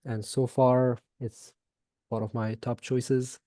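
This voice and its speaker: Soft-spoken Iranian-born man, dry close sound. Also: medium pitch, level tone, slow pace, dry recording. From Iran. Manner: hesitant, many fillers and restarts. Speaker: guest. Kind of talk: casual interview chat.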